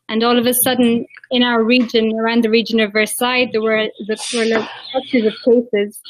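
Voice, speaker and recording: An Irish woman in her forties speaks on a video call from a small room, her voice pitched a little high and the sound dry and close. She talks in a fluent stream, doubling back on words as she searches for the next one. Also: dry recording, low-quality recording. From Ireland. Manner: fluent, repeats words while searching for them. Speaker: interventional cardiologist. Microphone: video call, laptop mic.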